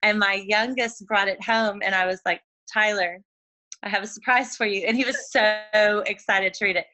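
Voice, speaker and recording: Bright-voiced chatty woman. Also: mid-range voice, fairly even intonation, moderate pace, low-quality recording. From Oklahoma. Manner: chatty, many "like" fillers, laughs often. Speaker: guest. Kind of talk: casual chat.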